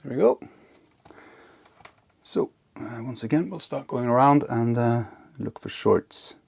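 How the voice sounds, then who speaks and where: A man giving casual, unscripted hands-on narration as an electronics hobbyist, halting and half talking to himself as he works. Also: mid-range voice, lively intonation, vocal fry, breathy edge. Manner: halting, muttering to himself while working.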